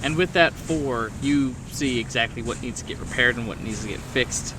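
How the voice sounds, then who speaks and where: Male game master, medium pitch, conversational improvised storytelling, noticeable engine noise behind. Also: moderate pace. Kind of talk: improvised tabletop horror game narration. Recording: clear boat engine ambience behind.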